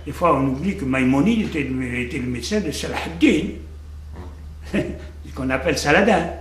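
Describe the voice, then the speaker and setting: Older man, reflective and lively. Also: on the high side, moderate pace. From Algeria. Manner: reflective, repeats words while searching for them. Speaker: interviewee. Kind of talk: conversational interview. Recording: echoey room, faint background noise.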